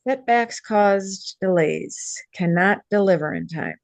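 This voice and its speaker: A woman's voice: medium pitch, takes it slowly, creaky, dry recording. A woman actor playing an earnest, determined young fashion designer, naturalistic and conversational with some hesitation, in a table read. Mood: worried.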